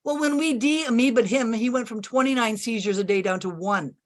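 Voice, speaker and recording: A woman's voice: on the high side, brisk. A woman who practices natural health, confidently giving folksy advice on a video call. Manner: confident, folksy, uses sayings.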